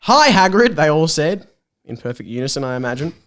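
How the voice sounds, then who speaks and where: A man's voice: a little high, very animated, brisk, fairly bright tone. A young man reads and chats casually as a podcast guest. His intonation swings up and down a lot, and he is playful and giggly as he ad-libs. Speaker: podcast guest reading aloud. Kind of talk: casual comedic chat and story reading.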